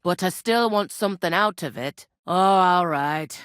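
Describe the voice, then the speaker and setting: A woman voicing a gruff, teasing medieval tavern innkeeper in a video game, with a blunt, folksy, scolding way of talking. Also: on the low side, measured pace, a bit bright, dry recording, clean studio sound. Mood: sceptical, haggling. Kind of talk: scripted game character dialogue.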